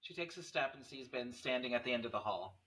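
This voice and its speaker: A man's voice: medium pitch, animated, quick pace, pushed and bright, husky, rough recording. A man reading stage directions aloud as narrator of a screenplay table read.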